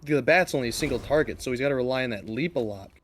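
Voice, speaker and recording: A man giving live esports commentary and analysis as a shoutcaster. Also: mid-range voice, quick pace, a little hiss or hum.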